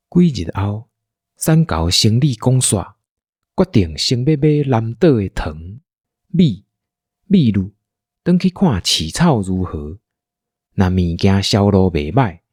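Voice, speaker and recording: Husky Taiwanese man, animated storyteller. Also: lowish voice, no echo, studio quality. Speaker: narrator of an audio drama. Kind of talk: scripted storytelling narration.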